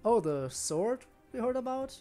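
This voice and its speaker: A man's voice: very high voice, big pitch swings, measured pace, mellow, faint music behind. A man giving casual gameplay commentary as a streamer, dryly talking to himself. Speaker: game streamer.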